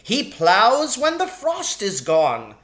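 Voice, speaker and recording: A tiny lady shrew acted out by a man grumbles her way through a storybook, pitched far up high. She is sharp-witted and short-tempered, and brisk in how she exclaims. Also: wildly swooping pitch, full character voice. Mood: grim, complaining. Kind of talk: storybook character voice in an audiobook reading.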